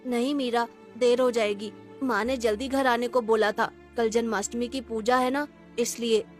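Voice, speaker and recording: A woman's voice: on the high side, steady tone, normal speed, faint music behind, lightly acted. Woman voicing a subdued, innocent little boy. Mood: downcast. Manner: subdued, earnest, childlike. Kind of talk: cartoon story character voice.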